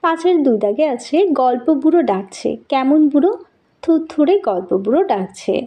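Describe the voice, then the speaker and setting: A woman's voice: high voice, lively intonation, moderate pace, mellow. A woman teacher giving a school lesson, reading out questions and answers and addressing pupils directly in an instructional way.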